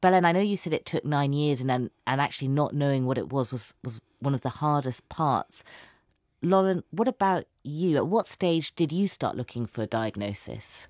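A woman's voice: sits low, lively intonation, normal speed, a little mellow, no room sound, studio quality. A woman hosting an interview, asking questions and adding prepared commentary.

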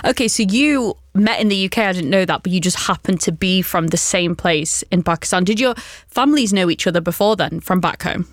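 Female host, lower register, conversational interview style. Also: brisk, dry recording, clean studio sound. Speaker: podcast or radio host.

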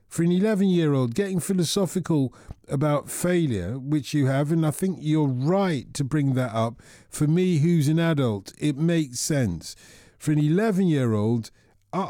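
Guy hosting a radio call-in, mellow warm voice, chatty and musing, dry studio sound. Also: fairly high pitch, no room sound. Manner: chatty, musing, thinks aloud with restarts. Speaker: radio phone-in host. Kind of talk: casual radio chat with callers.

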